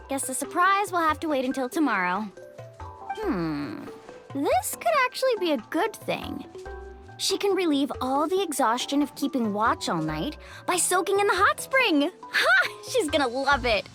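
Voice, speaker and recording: A woman playing an upbeat, confident, friendly young woman in a video game, with a breezy, encouraging way of talking. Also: high-pitched. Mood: thoughtful, hopeful.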